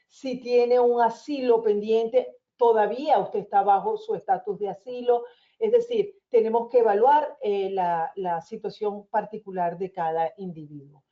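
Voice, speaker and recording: A woman with a Venezuelan accent, an immigration lawyer explaining visa options in a webinar in a calm, reassuring manner. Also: mid-range voice, lo-fi sound. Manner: reassuring, explanatory, addresses listeners as señores.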